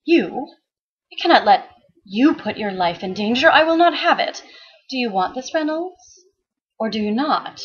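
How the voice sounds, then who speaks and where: A woman's voice: a little high, expressive, measured pace, breathy edge, lo-fi sound. A woman voicing an earnest, urgent male detective in a story reading, insistent and pleading. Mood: alarmed, adamant. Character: earnest, urgent male private detective in old New York.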